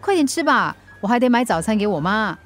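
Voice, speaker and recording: 嘴硬心软、语调起伏大的高音年轻女快递员. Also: female voice, on the high side, sing-song, faint music behind. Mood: dismissive, teasing. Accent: Singaporean. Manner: brusque, teasing, quick retorts. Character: spirited young woman courier, prickly but kind-hearted. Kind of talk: radio drama dialogue.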